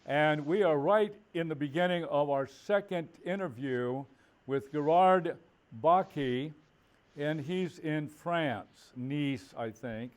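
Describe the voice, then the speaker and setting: Male interview host, fairly high voice, friendly short prompts. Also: takes it slowly. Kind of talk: video call interview.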